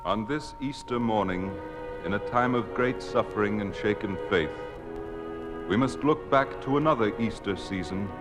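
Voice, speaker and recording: Male actor as fervent preacher, long church reverb, music behind, oratorical, measured, solemn, old-time radio drama. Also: mid-range voice, lightly acted. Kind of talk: sermon in 1950s radio drama.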